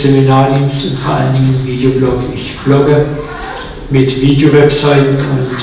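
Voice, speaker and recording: A man's voice: medium pitch, a little hiss or hum. A man in his sixties preaching a sermon in a casual, personal and humorous way. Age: 60s. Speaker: guest preacher. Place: church hall. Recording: echoey hall, mic from far off.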